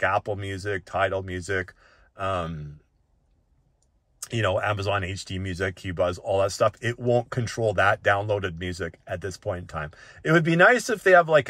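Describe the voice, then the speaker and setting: Low-voiced man giving a casual tech walk-through. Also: a bit bright, dry recording, clean studio sound. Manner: conversational, often checking in with "right?". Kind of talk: casual, unscripted tutorial talk.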